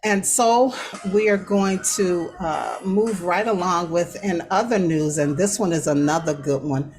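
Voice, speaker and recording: An American woman hosting a talk show, giving casual news commentary in a conversational, unhurried way with hesitant pauses between phrases. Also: fairly low pitch, darkish tone, slight background noise. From United States.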